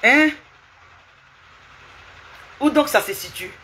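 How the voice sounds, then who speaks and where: A woman's voice: sits high, lively intonation, slow, sharp tone, slight background noise. A woman live streaming, lecturing and scolding someone with repeated rhetorical questions and asking viewers for hearts.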